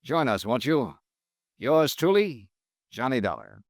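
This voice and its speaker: A man's voice: mid-range voice, sing-song, a little slow, no echo. An actor playing a cool, dry male insurance investigator in a 1950s radio drama, asking terse, clipped questions. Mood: wry.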